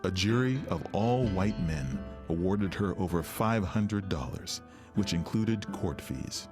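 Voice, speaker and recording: A man's voice: sits low, animated, unhurried. A man reading scripted narration for a historical documentary. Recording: music behind the voice. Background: background music.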